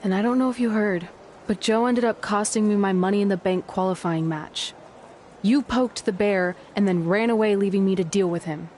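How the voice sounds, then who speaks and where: A woman's voice: medium pitch, normal speed, warm tone, close to an everyday voice. A woman acting as a blunt, exasperated young pro wrestler, sarcastic and no-nonsense in a scripted drama. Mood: reproachful. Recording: noisy location sound with ambience.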